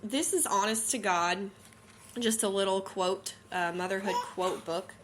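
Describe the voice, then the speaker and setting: A woman's voice: medium pitch, measured pace, background noise. Chatty southern-accented woman podcaster. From Missouri, US. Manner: rambling, chatty, many fillers. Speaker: podcast host. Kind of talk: casual chat.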